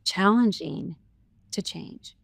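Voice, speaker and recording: A woman's voice: lowish voice, takes it slowly, gravelly, husky, dry recording. A woman co-hosting a podcast, adding thoughtful, clarifying remarks. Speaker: podcast co-host. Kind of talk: podcast interview.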